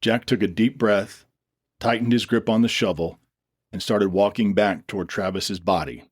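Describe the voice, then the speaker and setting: A man with a fairly low voice narrates a dramatic story. He reads from a script in the role of storyteller. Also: steady tone, moderate pace, slightly rough, no room sound, studio quality.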